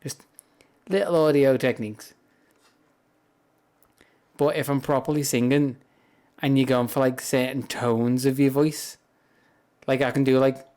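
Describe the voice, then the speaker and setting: A man with a deep, grumbly voice chats casually and rambles on a livestream, speaking softly into a close, high-gain mic. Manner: rambling, chatty, soft-spoken without projecting. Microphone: high-gain streaming mic up close.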